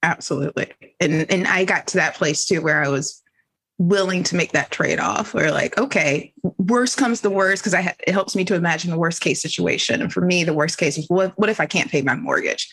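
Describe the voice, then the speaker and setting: A woman's voice: fairly low pitch, a little fast, fairly bright tone, slightly rough. A woman in a reflective, casual group discussion, candidly thinking aloud as she talks. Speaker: team member in a group discussion.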